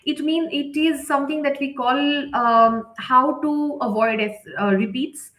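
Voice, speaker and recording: A woman with a fairly high voice leads an online writing lesson. She explains off the cuff, stressing points by repeating them and checking in as she goes. The sound is noticeably echoey and roomy. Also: fairly even intonation, measured pace, a bit bright. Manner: unscripted, emphatic with repetition and check-in tags. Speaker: writing workshop instructor.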